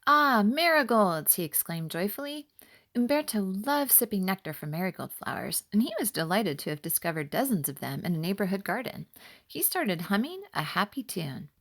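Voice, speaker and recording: A woman narrating her own children's story in an expressive, clearly enunciated manner. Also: lowish voice, brisk. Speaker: author reading own story.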